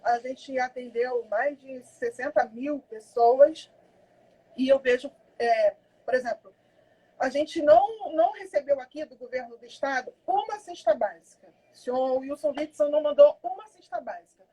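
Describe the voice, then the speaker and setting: A woman speaks in a high but mellow voice. She talks with urgent passion, leaning hard on key words. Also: moderate pace, slightly rough, poor audio. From Brazil. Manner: impassioned, emphatic. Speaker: community activist guest. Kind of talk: conversational interview.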